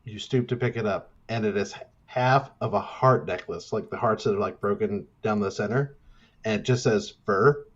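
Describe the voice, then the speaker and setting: A man's voice: fairly low pitch, normal speed, lo-fi sound. A man narrating improvised moments in a casual tabletop roleplaying game.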